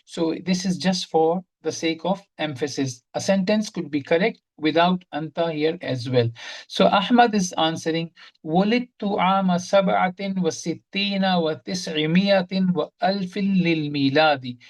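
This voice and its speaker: A woman's voice: lowish voice, unhurried, rough recording. A woman teaching an online Arabic grammar lesson, explaining each point step by step, repeating key terms and quizzing her students. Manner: quizzes the class directly.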